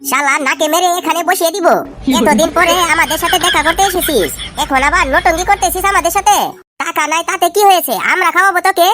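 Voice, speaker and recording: A bullying, irritable young cartoon guy, voiced by a woman, grumbles in slang. The voice is extremely high and hurried, with faint music underneath. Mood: irritated bossy. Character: bossy, irritable young male drinking buddy. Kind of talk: amateur comedy cartoon dialogue.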